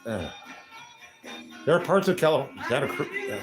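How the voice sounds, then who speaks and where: A man's voice: a little high, sing-song, moderate pace. A man from California, hosting his channel in a rambling, self-deprecating livestream chat with music behind him. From Los Angeles area, California. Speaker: channel host.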